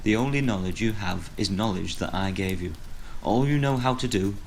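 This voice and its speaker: A man playing a weary, bitter retired horror storyteller in an audio drama, grave and deliberate in his delivery. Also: fairly low pitch, creaky, poor audio. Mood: accusing, bitter. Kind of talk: audio drama monologue and dialogue. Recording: faint background noise.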